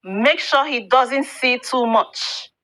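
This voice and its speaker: A woman's voice: sits high, slow pace, bright, forceful tone, heavily acted, poor audio. A woman voicing a cautious, wise old woman, a magical mentor in a folktale, speaking earnestly. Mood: grave warning.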